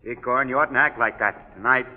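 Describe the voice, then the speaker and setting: An actor playing a frightened death-row inmate who speaks nervously and pleads, in an old radio drama. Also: male voice, mid-range voice, a little fast, lightly acted. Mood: anxious. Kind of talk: 1940s radio acting. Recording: tinny old radio broadcast with long reverb.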